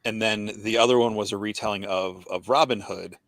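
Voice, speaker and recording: Low-voiced, halting American podcast host. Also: male voice, steady tone, a bit bright, no echo. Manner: hesitant, many fillers and restarts, asks questions. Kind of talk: casual podcast conversation.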